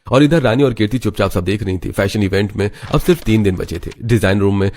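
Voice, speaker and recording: Male narrator for animated family melodrama, scripted storytelling, lowish pitch. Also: fast talker.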